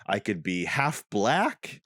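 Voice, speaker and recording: A young man talking in a lively, swinging voice on a podcast, rambling from one thought to the next and often restarting mid-sentence. The sound is close and dry, with no room around it. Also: on the low side, slow pace, dry recording. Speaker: podcast co-host. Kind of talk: casual chat.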